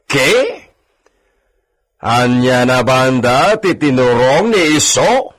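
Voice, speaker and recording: An actor playing a domineering, suspicious landowner husband in a radio drama, imperious and quick to rage, peppering his talk with Spanish exclamations. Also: mid-range voice, a bit bright.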